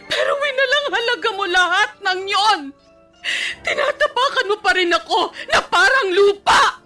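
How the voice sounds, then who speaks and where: A trampled, defiant heroine of Filipino radio melodrama, in tears. Her voice is extremely high and sharp, swinging widely, with music underneath and a Filipino accent. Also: animated, moderate pace, heavily acted. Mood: anguished, defiant. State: crying. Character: wronged, long-suffering woman defiantly asserting her dignity.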